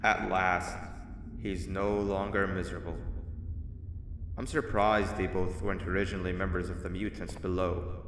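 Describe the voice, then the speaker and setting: A man's voice: deep voice, level tone, moderate pace, a bit bright, a little hiss or hum. A man voicing a chatty, sarcastic mascot monster in a video game, with a glib, teasing way of talking. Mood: dry, casual. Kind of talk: video game character acting.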